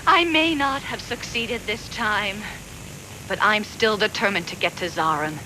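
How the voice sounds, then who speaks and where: An actress playing a bitter, haughty, ambitious rival in a dubbed anime, dramatic and scornful. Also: female voice, unhurried, natural voice. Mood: vengeful, rising fury. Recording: noisy VHS tape, rumble behind.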